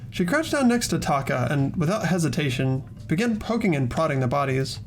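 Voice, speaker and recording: A man voicing an earnest, easily flustered teenage boy in an amateur visual novel dub, both narrating and speaking with a hesitant, expressive delivery. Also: fairly high pitch, brisk, soft background music, poor audio. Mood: narrating, uneasy.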